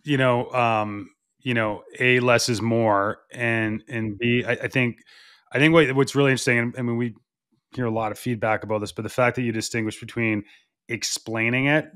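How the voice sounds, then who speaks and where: Man, interviewer, middle pitch, hesitant, rambling questions. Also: steady tone, moderate pace, fairly bright tone. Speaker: interviewer, podcast host.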